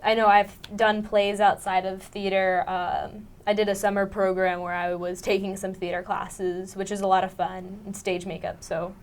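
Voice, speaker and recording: A woman's voice: mid-range voice, little pitch movement, moderate pace, few pauses. A teenage female student actor chatting casually in an interview, informal and a bit giggly. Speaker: student actress being interviewed. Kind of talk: casual chat.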